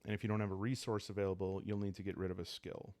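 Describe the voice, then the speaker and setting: A man calmly explaining a solo roleplaying game in a soft-spoken, unhurried ASMR style, hesitating now and then as he thinks aloud. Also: deep voice, dry recording. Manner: calm, unhurried. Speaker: host of a solo roleplaying playthrough.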